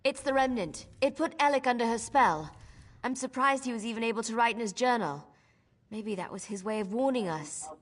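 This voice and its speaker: A woman playing an earnest, expressive helper in a fantasy video game, with a conversational delivery. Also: fairly high pitch, fairly quick. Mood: urgent. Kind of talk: scripted video game dialogue.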